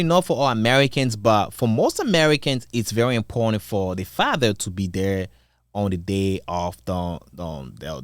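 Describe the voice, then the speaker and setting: A man's voice: fairly low pitch, dry recording, studio quality. A young man from Congo giving a casual, rambling opinion monologue, full of fillers and restarts. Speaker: channel host.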